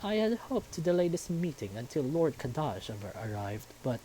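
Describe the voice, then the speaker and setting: A man voicing a stately furry animal king who is fatherly, calm but stern, with formal, measured, commanding speech. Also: on the high side, slight background noise, pitched above the performer's own voice, poor audio.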